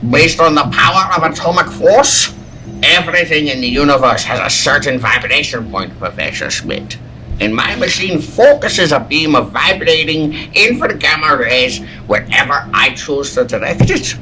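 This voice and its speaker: A man playing an excitable scientist and inventor in a dramatic, emphatic old-time radio style. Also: a little high, normal speed, slight background noise, poor audio. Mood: proud, intense. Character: excitable male scientist, inventor of a deadly machine.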